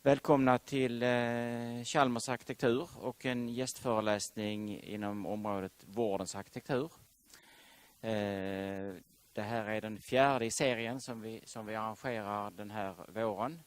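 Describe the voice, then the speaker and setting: A man introducing a guest speaker at a university lecture, halting with frequent fillers. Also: on the low side, steady tone, clear, resonant tone. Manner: hesitant, many fillers. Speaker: lecture series host. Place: lecture hall.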